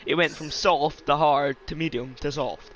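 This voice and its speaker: A man's voice: on the high side, fairly even intonation, normal speed, fairly bright tone. A young Scottish man co-commentating an esports race, blunt and stumbling in casual chat, on a headset. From Scotland.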